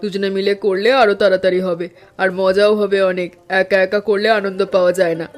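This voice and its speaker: A man voicing a calm, wise elderly mother-in-law in a cartoon, speaking in an even, advising tone. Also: sits high, fast talker, soft background music, close to an everyday voice. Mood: gentle, advising. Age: older. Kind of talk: cartoon voice acting.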